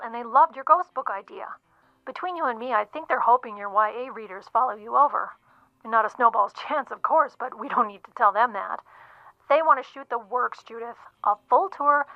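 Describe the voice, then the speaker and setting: An actress playing a brisk, eager literary agent, chatty and pushy over a telephone in an audio drama. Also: female voice, on the high side, no echo. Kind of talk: audio drama phone conversation. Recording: dull, band-limited phone call sound.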